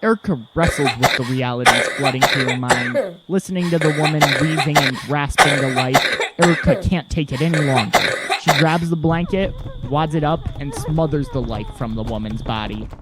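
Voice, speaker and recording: A man's voice: fairly high pitch, normal speed, hardly any pauses, very noisy. A man reading scripted narration for an audio drama in the present tense.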